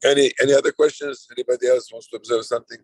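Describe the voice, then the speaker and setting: A man's voice: medium pitch, fairly even intonation, rapid-fire, a little mellow, no room sound, poor audio. Serbian-accented man chairing a video call. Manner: loose, rambling, frequent restarts. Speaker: conference chair. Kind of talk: online roundtable moderation.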